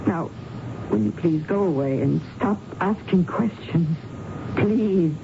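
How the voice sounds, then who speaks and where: An actress playing a distressed, defensive bereaved wife in a 1970s radio drama, speaking in a halting, guarded, pleading way. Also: female voice, lowish voice, gravelly. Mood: distraught, pleading. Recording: noisy, dull lo-fi radio broadcast.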